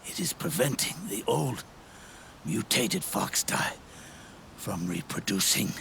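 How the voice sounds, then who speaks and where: Gravelly, dying old soldier. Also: male voice, on the low side, animated, fairly bright tone, a little hiss or hum, full character voice. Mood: weary, explanatory. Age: older. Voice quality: gravelly, breathy, often fading to a whisper. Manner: halting, solemn, drawn-out phrases. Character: dying old male soldier, weary, regretful, reflective. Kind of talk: cinematic video game acting. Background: music.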